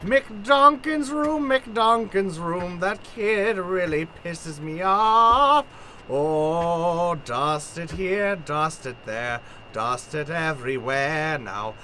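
Woman doing a slow, bright old Cockney maid. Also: on the low side, heavily acted. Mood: sing-song, grumbling. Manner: sing-song muttering while working. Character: old weary Cockney maid, crazy, singing, grumbling. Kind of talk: improvised old-time radio play acting. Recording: faint background noise.

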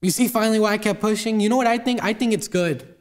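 A man's voice: high voice, fast talker, lightly acted. A young man performing a dramatic monologue as a frustrated grown son reproaching his father. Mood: reproachful. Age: teens to young adult.

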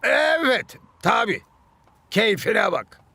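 A man's voice: high voice, very animated, slow pace, full character voice. A man voicing a sarcastic, theatrical scientist villain in a children's cartoon. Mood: resigned sarcasm. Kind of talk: animated children's cartoon dub. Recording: music behind the dialogue.

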